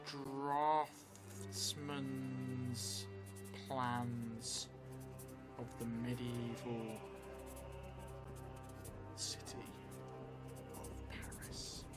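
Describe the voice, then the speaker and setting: A middle-aged man thinking aloud as he narrates a solo tabletop role-playing game, halting between phrases. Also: mid-range voice, completely flat delivery. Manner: halting, pausing between phrases while writing. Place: indoor room, seated at a table. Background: music.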